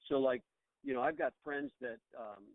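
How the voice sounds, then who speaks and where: A man's voice: medium pitch, huge pitch swings, normal speed, creaky, no room sound. A middle-aged American man being interviewed as a business owner, talking conversationally and reflectively over the phone, often rephrasing as he goes. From United States. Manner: reflective, rambling, often repeats words to rephrase. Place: on a phone call. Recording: tinny, band-limited phone line.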